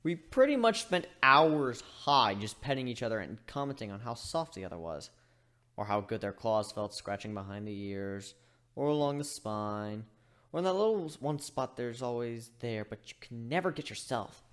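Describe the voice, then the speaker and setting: A man giving an expressive amateur dramatic reading of a story. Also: medium pitch, normal speed. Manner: animated, expressive delivery. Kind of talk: dramatic reading of fan fiction.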